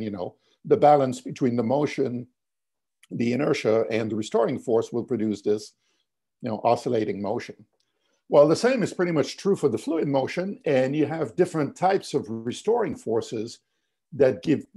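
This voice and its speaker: An older man giving a physics lecture on a video call, explaining step by step with many fillers and restarts, his voice going hoarse. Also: mid-range voice, warm tone. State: losing his voice, coughing. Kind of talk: webinar lecture over slides. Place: home office, indoors.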